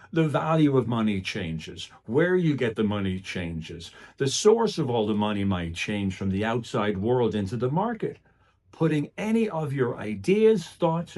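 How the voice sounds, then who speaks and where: A middle-aged man delivers a motivational talk in a husky voice. His intonation is very lively, rising and dropping across phrases. He sets out each point deliberately, with emphatic pauses in a storytelling style. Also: medium pitch. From Ireland. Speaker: public speaker. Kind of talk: motivational club speech with storytelling.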